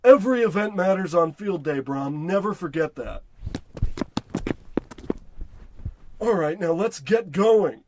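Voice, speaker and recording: Man playing high-voiced, blustering radio-comedy salesman. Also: expressive, moderate pace, noisy background. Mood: earnest, bossy. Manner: blustering, bossy, muddled tough talk. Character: brash, demanding, dim-witted male travelling salesman. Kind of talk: parody of old radio comedy-drama acting.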